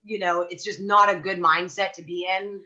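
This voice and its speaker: A woman's voice: lowish voice, little pitch movement, normal speed, sharp tone, highs cut off. A woman vocalist, a guest on a podcast, talking thoughtfully with lots of fillers. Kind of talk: podcast conversation.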